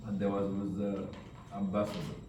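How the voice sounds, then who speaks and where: A man telling animated anecdotes to a group of staff, acting out the dialogue. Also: fairly low pitch, normal speed. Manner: animated, acts out dialogue, laughs often. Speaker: charity founder telling stories to staff. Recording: echoey room from far off with background noise.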